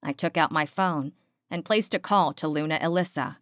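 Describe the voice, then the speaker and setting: A woman's voice: low-pitched, normal speed, dry recording, highs cut off. A woman reading first-person fiction aloud as an audiobook narrator, in a scripted storytelling style.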